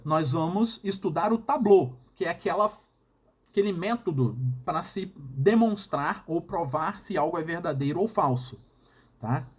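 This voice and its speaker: A man with a Brazilian Portuguese accent and a husky voice, giving a recorded university lecture and often checking in with the class. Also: sits high. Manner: explanatory, frequent checking "tá?" and "né?".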